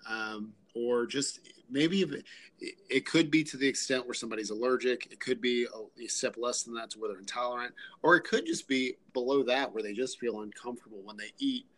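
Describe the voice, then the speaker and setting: An American man hosting a podcast in a relaxed, easygoing way. He has a middle-pitched voice, laughs often and fills his sentences with likes. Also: brisk. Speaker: podcast host. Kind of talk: casual interview chat.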